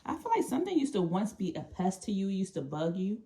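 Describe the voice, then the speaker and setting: A woman giving an intuitive tarot reading, talking in a calm, meandering way and often restarting or repeating phrases. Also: mid-range voice, a little fast. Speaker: tarot reader.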